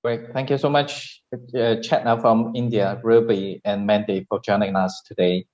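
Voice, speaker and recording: A man's voice: fairly low pitch, fairly even intonation, moderate pace, a little mellow. A man hosting an online panel, thanking speakers and handing over the floor as moderator. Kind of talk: event hosting. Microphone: online conference call. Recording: muffled online call.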